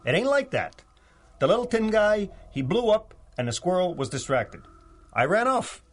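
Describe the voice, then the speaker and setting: High-pitched, cringing gangster henchman. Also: very animated, moderate pace. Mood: nervous pleading. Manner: stammering, obsequious, streetwise slangy grammar. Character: dim, cringing male gangster henchman, nervously servile. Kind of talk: 1930s radio serial acting.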